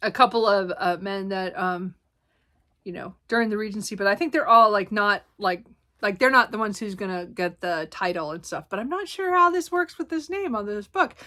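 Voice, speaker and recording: A woman chatting casually about her new books, rambling with many ums and self-corrections, a little hoarse and clearing her throat. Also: medium pitch, expressive, normal speed, sharp tone. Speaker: book vlogger. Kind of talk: casual book haul chat.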